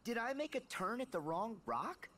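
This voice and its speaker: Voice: mid-range voice, very animated, moderate pace, a bit bright. An actor voicing a plucky, earnest teenage boy hero in a video game cutscene. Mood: puzzled.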